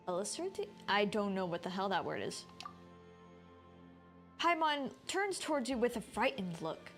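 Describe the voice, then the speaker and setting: A woman streaming a video game, reading its text aloud and commenting casually. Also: a little high. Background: game music.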